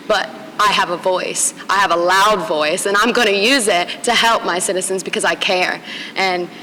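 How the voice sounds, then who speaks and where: A woman's voice: mid-range voice, bright, forceful tone, a little hiss or hum, rough recording. Earnest young woman protest organizer. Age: young adult. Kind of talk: panel discussion.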